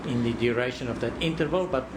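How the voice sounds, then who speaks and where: A man cross-examining a witness in court, deliberate and probing, often repeating words as he frames a question. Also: medium pitch, background noise.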